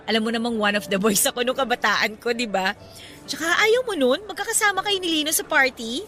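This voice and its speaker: Masiglang dalagang ikakasal, matinis ang boses. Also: female voice, on the high side, brisk, soft background music. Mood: matter-of-fact. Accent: Filipino. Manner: animated, exclaiming, colloquial. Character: chatty, expressive young bride-to-be and close friend. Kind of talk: Filipino radio drama acting.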